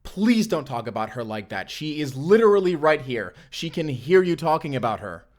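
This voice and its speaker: A man's voice: a little high, sing-song, moderate pace, darkish tone. A man playing a young vampire slayer in a cinematic audio roleplay, devoted, defiant and earnest in his delivery. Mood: defensive.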